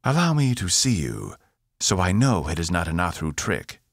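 A man's voice: sits low, huge pitch swings, moderate pace, creaky, dry recording, studio quality, heavily acted. A man voicing a wary, imperious female alien priestess who speaks sharply and formally. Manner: sharp, commanding, archaic.

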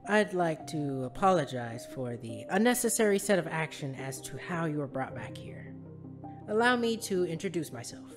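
Earnest male agent for pony audio drama, played by a man. Courteous, formal, very lively intonation over clear music. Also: a little high, normal speed. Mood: polite.

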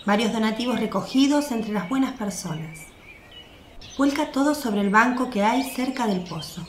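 A woman's voice: a little high, lively intonation, moderate pace. A woman reading scripted narration for a radio drama. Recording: roomy sound with faint noise. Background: birdsong, outdoor ambience.

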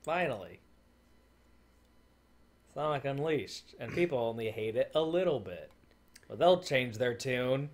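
A man with a mid-pitched voice hosting a let's play. He talks at a very slow, unhurried pace, casually joking and reading text from the game out loud. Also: lively intonation.

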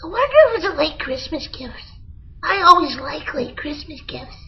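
Impulsive male monkey kid, very high and squeaky, played by a woman; muffled lo-fi radio-drama sound. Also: animated, moderate pace, gravelly, a little hiss or hum. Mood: childish. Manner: impulsive, whiny, easily distracted. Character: childish excitable young male monkey. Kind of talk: amateur old-time radio parody. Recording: muffled lo-fi audio with music.

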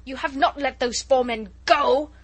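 A woman's voice: medium pitch, normal speed, a bit bright, husky. A woman doing a vicious, menacing villain. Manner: menacing, commanding. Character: menacing male villain official, cold and vicious.

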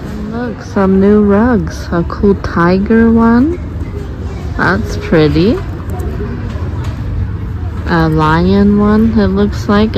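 A woman narrating a shopping vlog as she walks store aisles, reading out items and prices in an unhurried way with light chuckles, recorded on a handheld phone. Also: mid-range voice, highs cut off, background noise. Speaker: shopping vlogger. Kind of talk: casual shop-with-me narration. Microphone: phone camera held by the speaker.

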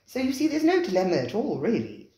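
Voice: medium pitch, huge pitch swings, mellow, roomy sound, voice pushed up. A reader voicing a tactful male companion who bows out with light banter. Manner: quick, bantering.

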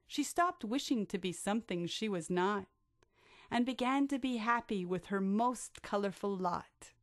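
A woman narrating a rhyming bedtime story for children with expressive, drawn-out delivery. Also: medium pitch, dry recording, clean studio sound.

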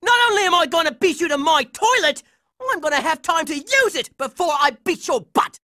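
A man's voice: very high voice, lively intonation, a little fast, pushed and bright, dry recording, studio quality, exaggerated voice. A man voicing a brash, hot-headed young boy fighter in a cartoon video game, belligerent and exclamatory. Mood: boastful, combative.

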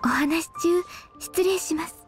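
High, slow, courteous young secretary. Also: female voice, over loud music. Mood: polite, hesitant. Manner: soft, formal, hesitant and very courteous. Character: polite, earnest, anxious young female secretary. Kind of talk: Japanese video game voice acting.

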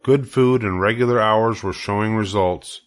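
A man narrating a children's story in a measured, deliberate way. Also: on the low side. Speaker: storybook reader.

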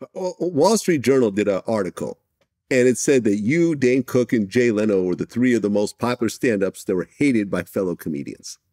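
A man's voice: fairly low pitch, animated, moderate pace, a little mellow, no room sound. Male interviewer, very dry close sound. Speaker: interviewer, host. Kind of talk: conversational interviewing.

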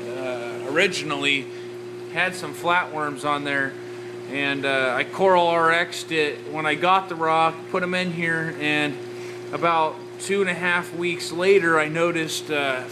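A man with a fairly high voice talks off the cuff while filming his home reef tanks, stopping often to fill gaps with "uh". He records on a handheld camera, and a clear noise sits under his voice in the recording, with an air conditioner humming behind him. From Oregon. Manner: rambling, lots of "uh" fillers. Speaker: reef aquarium hobbyist. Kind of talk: casual walkthrough vlog. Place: home reef tank setup, indoors. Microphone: handheld camera, off-screen.

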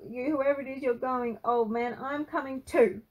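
A woman's voice: a little high, moderate pace, mellow, husky, dull audio, voice pushed up. A woman voicing a frightened, angry young boy in a storybook, pleading and defiant with his grandfather. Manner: pleading, stuttering when alarmed.

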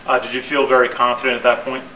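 A man, a reporter, asking brisk, wordy questions at a press conference. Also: mid-range voice, completely flat delivery, sharp tone. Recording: slightly distant, faintly noisy room audio.

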